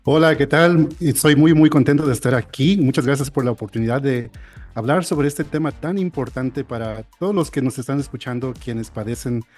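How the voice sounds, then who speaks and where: American guy speaking spanish, mellow low-key tone, lots of restarts and ums, faint music under it. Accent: American English. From United States. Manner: halting, frequent restarts and fillers. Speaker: audiologist guest. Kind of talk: interview, explaining.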